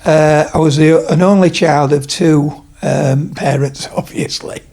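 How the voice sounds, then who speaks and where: A man's voice: on the high side, fairly even intonation, a little slow, darkish tone, slight background noise. A man of about seventy from Leeds, telling rambling stories at home in a casual interview. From Leeds, England. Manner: rambling, digressive, many fillers. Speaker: podcast guest, retired tradesman. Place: his home.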